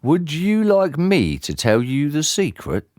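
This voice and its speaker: A storyteller playing a kindly male tramp from a children's book, speaking gently and reassuringly. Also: mid-range voice, wildly swooping pitch, takes it slowly, no room sound, studio quality, voice pushed up.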